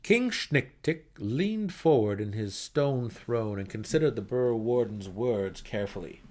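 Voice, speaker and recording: Male narrator, mid-pitched, dramatic fantasy storytelling, scripted audiobook reading. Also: lively intonation, a little slow, darkish tone.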